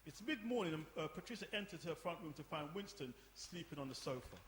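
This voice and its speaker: A male narrator reads scripted stage directions for a drama. His voice is a little high for a man. The recording is echoey, with some hiss behind it. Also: lively intonation, fast talker, a bit bright. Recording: echoey room, live performance, hiss.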